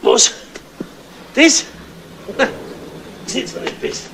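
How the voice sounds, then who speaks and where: A man's voice: slow pace, close to an everyday voice. An actor playing an offhand, grumbling man in a 1970s German crime radio play. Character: offhand, grumbling man refusing to act as stuntman. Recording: noisy old radio play recording.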